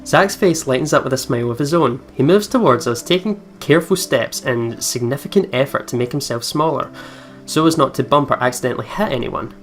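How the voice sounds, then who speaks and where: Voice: medium pitch, brisk. Let's player reading over faint music. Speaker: let's play host. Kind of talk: reading game text aloud with casual commentary.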